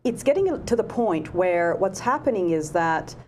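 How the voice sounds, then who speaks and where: A woman's voice: mid-range voice, sing-song, moderate pace, a little mellow, a little hiss or hum. A woman hosting a conversational interview, wry and asking pointed questions, who often says "yes" to back her guest. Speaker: show host.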